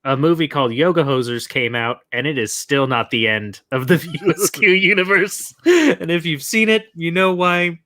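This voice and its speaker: A man's voice: on the high side, normal speed, few pauses, bright, forceful tone, no echo, studio quality. A man co-hosting a podcast, giving his opinions on films in casual conversation. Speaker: podcast co-host. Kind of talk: casual film review chat.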